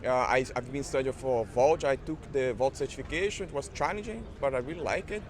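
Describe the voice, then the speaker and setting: A man's voice: mid-range voice, fairly quick. A man at a conference talking casually about the tools he uses, with many fillers and restarts. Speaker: conference attendee. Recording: busy crowd noise behind. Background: crowd chatter.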